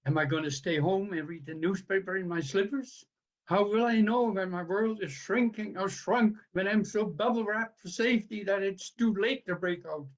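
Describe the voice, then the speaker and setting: A man's voice: high voice, normal speed, highs cut off, lightly acted. A man in his seventies playing a proud, resentful elderly husband in an audio play, protesting indignantly. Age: 70s. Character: proud, resentful 77-year-old husband losing his driving. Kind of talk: audio play stage acting.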